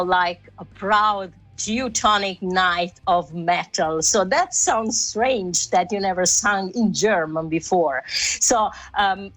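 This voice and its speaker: A woman's voice: mid-range voice, a bit bright, low-quality recording. A woman hosting a radio interview, asking long, winding questions with fillers. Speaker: radio host. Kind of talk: interviewing a guest.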